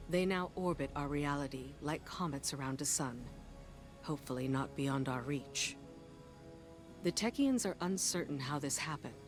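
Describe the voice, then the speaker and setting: An actress playing a resolute, embittered military commander in a video game, speaking in a formal, grave way. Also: female voice, sits low. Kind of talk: video game cutscene acting. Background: background music.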